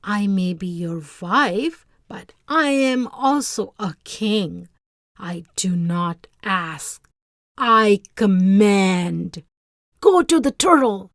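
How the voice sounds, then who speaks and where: A woman's voice: medium pitch, lively intonation, extremely slow, no room sound, clean studio sound. A woman voicing an imperious, greedy fisherman's wife in a storybook, commanding and emphatic. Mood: furious. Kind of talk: storybook read-aloud character voice.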